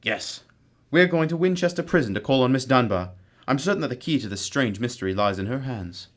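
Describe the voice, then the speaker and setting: A composed gentleman detective from a radio mystery, acted by a man. The voice is mid-pitched, with deliberate, courteous authority and an analytical turn. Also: animated, natural voice. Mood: assured, deductive. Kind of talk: radio drama acting.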